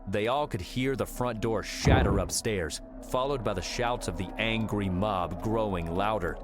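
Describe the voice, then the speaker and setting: Low-voiced man narrating over music. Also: unhurried. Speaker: audiobook narrator. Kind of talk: dramatic scripted narration. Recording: music under the voice.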